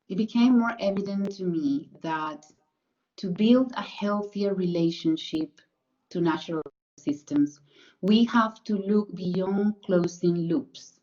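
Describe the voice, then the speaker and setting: A woman's voice: on the low side, a little mellow. Colombian woman presenting research, lo-fi audio. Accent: Colombian Spanish. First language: Spanish. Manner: earnest, careful, with many fillers and self-corrections. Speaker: PhD researcher. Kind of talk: academic presentation.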